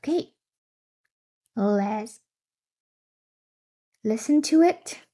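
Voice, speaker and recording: Young woman, tech tutorial host; slow, easygoing delivery, talking to a webcam indoors. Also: on the high side, expressive, mellow, slightly rough. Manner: thinking aloud, reacting to results. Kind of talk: casual screen-share tutorial. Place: indoor room.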